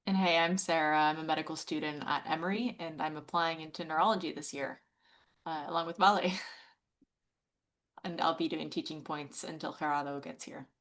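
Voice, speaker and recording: A woman medical student gives a brief, friendly introduction. Her voice is low for a woman. It sounds like she is speaking over a video call. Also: normal speed, a bit bright.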